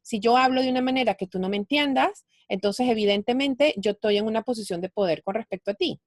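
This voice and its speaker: A woman's voice: on the high side, fast talker, dry recording, clean studio sound. A woman from Venezuela, explaining her ideas reflectively in a conversational interview. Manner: explanatory, reflective, thinks aloud. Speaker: guest, finance speaker.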